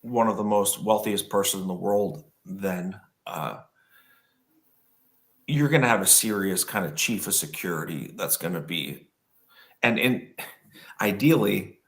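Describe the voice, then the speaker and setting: Hoarse, low-voiced male podcast host, relaxed and chatty. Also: unhurried, pauses often. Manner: relaxed, chatty, with frequent fillers. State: hoarse, recovering from illness. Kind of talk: casual chat, reading notes aloud.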